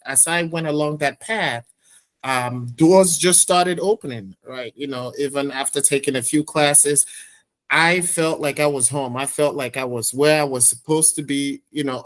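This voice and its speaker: A middle-aged man from Nigeria, a podcast guest telling his career story in a reflective, measured way with many fillers. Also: mid-range voice, a bit bright. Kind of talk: conversational interview storytelling.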